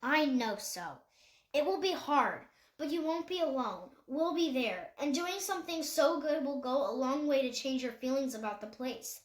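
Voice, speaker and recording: A caring, insistent young girl with a bright, high voice, put on by a woman reading a novel aloud. She coaxes and comforts, steady and sincere, heard in an echoey room. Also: normal speed. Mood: reassuring. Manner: gently pressing, reassuring.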